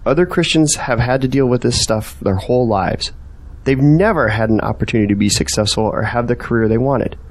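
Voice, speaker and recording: A man's voice: mid-range voice, brisk, close to an everyday voice. Earnest man, searching and persuasive, questioning manner, audio drama dialogue, acted by a man. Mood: thoughtful, concerned.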